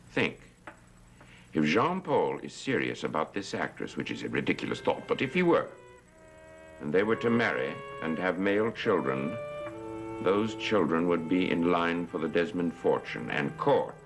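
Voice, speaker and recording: An actor playing a scheming, domineering older husband in an old soap opera, condescending and coldly instructive. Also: male voice, a bass voice, exaggerated voice. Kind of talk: 1960s soap opera acting. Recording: old TV soundtrack with music beneath.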